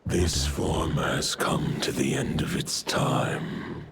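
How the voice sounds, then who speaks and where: An actor playing an ancient wolf god saying a calm farewell in a slow, grave, half-whispered voice. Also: male voice, rumbling low, vocal fry, exaggerated voice. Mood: solemn. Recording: game audio with noisy ambience.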